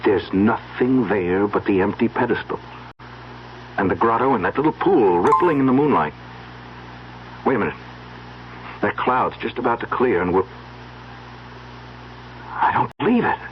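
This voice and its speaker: An actor playing an uneasy, suspicious young husband in an earnest, questioning old-time radio mystery style. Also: male voice, fairly low pitch, lively intonation, moderate pace, long pauses, lightly acted. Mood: shocked, incredulous. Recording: dull, noisy old radio recording.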